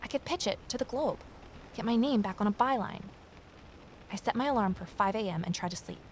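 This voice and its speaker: A woman's voice: medium pitch, lively intonation, brisk, slight background noise, close to an everyday voice. A woman playing a wry, uneasy young journalist who narrates a mystery audio drama in a confiding way. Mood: brooding. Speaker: lead character and narrator.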